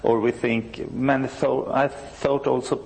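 Gravelly Swedish man groping for English words. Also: mid-range voice, little pitch movement, unhurried, a little mellow, rough recording. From northern Sweden. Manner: searches for words, many restarts. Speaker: church musician, interview guest. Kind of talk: conversational interview, halting English.

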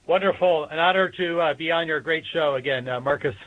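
A man speaking as an expert guest in an interview, explaining in a measured, hesitant way with pauses between phrases. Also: fairly high pitch. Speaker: guest expert, political science professor. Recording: dull lo-fi remote radio connection.

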